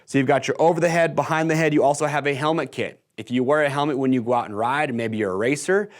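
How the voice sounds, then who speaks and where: A man's voice: medium pitch, fast talker. An American man in his thirties giving an enthusiastic, casual product walkthrough to camera in a conversational sales style. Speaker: product video presenter. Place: indoor workshop-style studio set.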